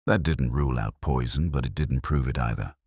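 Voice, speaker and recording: A man's voice: extremely low pitch, a little fast, darkish tone, breathy edge, no echo, studio quality. A man reading scripted first-person hardboiled detective narration as an audiobook narrator.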